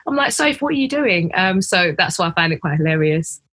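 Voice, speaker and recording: A woman's voice: lowish voice, sing-song, fairly quick, fairly bright tone. A British woman hosting a podcast, chatting informally and casually with a guest. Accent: British English. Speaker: podcast host.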